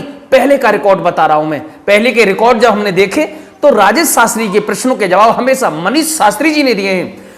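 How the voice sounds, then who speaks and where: A man's voice: high voice, fairly bright tone. Emphatic male channel host. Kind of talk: spoken commentary to channel viewers.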